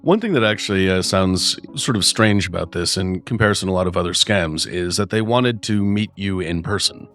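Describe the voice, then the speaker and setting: Deep-voiced man, measured and tentative. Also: a bit bright, faint music behind. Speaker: podcast co-host. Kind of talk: conversational analysis.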